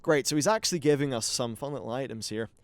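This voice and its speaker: A man's voice: mid-range voice, fast talker, clear, resonant tone. A man hosting a gaming video with casual commentary and wry, sweary asides.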